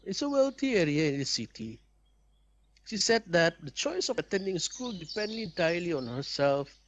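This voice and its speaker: A man reading a visual novel game aloud as an expressive playthrough narrator. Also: fairly high pitch, slight background noise. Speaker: video game player and narrator.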